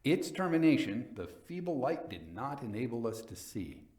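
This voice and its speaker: A man giving a dramatic reading as a coldly vengeful nobleman recounting his murder in a gothic tale, theatrical, deliberate and emphatic. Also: mid-range voice, very animated, a little mellow, reverberant, lightly acted. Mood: grim, suspenseful.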